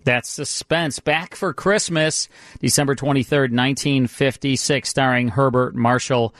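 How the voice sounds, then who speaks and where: A man's voice: medium pitch, steady tone, unhurried, a bit bright, soft background music. A man hosting a radio show with casual, jokey, enthusiastic banter in a studio.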